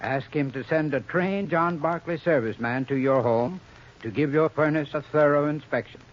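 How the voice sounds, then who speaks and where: A man with a slightly high voice delivers a 1930s radio commercial. His phrasing is formal and instructive, like a scripted expert talk. The recording is dull and muffled. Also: moderate pace. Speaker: radio advertising spokesman, heating expert.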